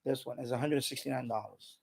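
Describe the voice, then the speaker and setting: A man's voice: medium pitch, steady tone, fairly quick, creaky, a little mellow, breathy edge. A man hosting an unscripted hobby livestream, chatting in an emphatic, opinionated way and repeating himself to drive points home. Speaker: live stream host.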